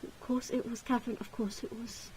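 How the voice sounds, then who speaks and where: A woman voicing a gentle, protective gentleman from a gothic visual novel, speaking in a soothing, reassuring way. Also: fairly high pitch, little pitch movement, brisk, noisy background, close to an everyday voice. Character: gentle, protective young gentleman consoling a loved one. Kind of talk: dramatic visual novel character reading.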